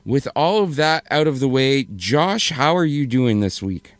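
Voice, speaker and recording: Hoarse man chatting on a podcast. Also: medium pitch, expressive, a little slow, no echo. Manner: rambling, self-correcting, apologetic. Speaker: podcast co-host. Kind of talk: casual podcast chat.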